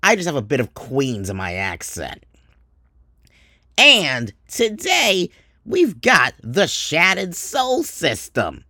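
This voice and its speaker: A man puts on a brash American co-host for a mock radio show. He announces like a chaotic showman, stretching words for emphasis with big pitch swings in a dry recording. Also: wildly swooping pitch, sharp tone, dry recording, heavily acted. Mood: brash, showy. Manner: showy announcer, drawn-out emphasis.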